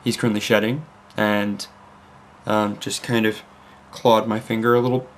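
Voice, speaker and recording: A man's voice: on the low side, little pitch movement, moderate pace, a little hiss or hum. Young vlogger, American accent with Leeds creeping in, rambling casually at his desk. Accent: mixed American and Leeds. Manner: rambling, self-interrupting, with fillers. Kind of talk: casual chat to camera. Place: home room at a computer desk.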